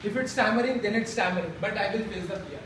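A man's voice: sits high, fast. A young man who stammers and restarts words, giving a personal speech to a support group in a classroom. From India. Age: young adult. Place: classroom with a chalkboard. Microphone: far camera. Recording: echoey room from far off, background noise.

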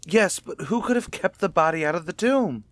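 An indignant gentleman under accusation in a radio mystery, acted by a man. He is defensive and sarcastic, with a fairly high voice for a man. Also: expressive, normal speed, dry recording. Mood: flustered. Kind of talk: old-time radio mystery acting.

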